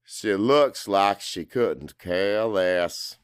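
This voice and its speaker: A man's voice: low voice, very slow, clear, resonant tone, dry recording, clean studio sound. A man narrating first-person dramatic fiction in a brooding, confessional manner. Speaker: audiobook narrator.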